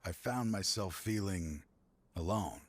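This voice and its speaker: Game villain: bitter fallen lawman and grieving father, low husky voice, weary and taunting, dry sound over music. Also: slow. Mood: mournful. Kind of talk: video game voice acting.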